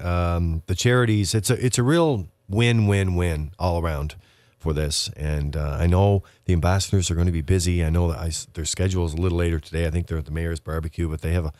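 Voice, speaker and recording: A man's voice: deep voice, darkish tone, clear, resonant tone, dry recording. A man from Prince Edward Island, a racetrack representative, talking casually as a radio guest with lots of fillers and restarts. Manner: rambling, many fillers and restarts.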